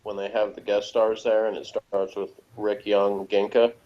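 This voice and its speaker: A man with a fairly low voice talks casually as a podcast reviewer. He rambles through his opinions. The sound is dull and lo-fi. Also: little pitch movement, moderate pace, mellow. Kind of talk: casual chat reviewing a TV episode.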